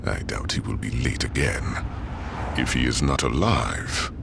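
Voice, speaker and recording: A calm, menacing gang general in a game, speaking in a formal, measured way. His voice is extremely deep and gravelly, yet it rises and falls expressively. A steady hiss sits under the game audio. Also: heavily acted. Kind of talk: video game cutscene acting.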